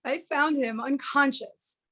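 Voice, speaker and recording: A woman's voice: high voice, unhurried, a bit bright. A woman playing a frightened middle-aged prostitute, pleading in distress, in a screenplay read. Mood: desperate, pleading.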